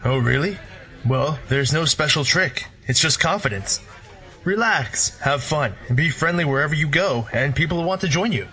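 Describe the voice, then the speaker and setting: A man's voice: on the high side, moderate pace. A man voicing an easygoing, friendly drifter and former warrior. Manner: relaxed, friendly, wry.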